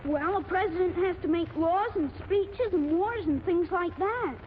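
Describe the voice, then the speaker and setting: An eager little boy in an old radio comedy, piping very high. He talks in earnest, slangy bursts, full of brash confidence. The sound is thin and phone-like, with clear noise over it. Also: moderate pace. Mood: matter-of-fact, scornful. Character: eager, brash little boy visiting Washington, streetwise kid. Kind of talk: 1940s radio comedy acting. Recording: tinny, noisy 1940s radio recording.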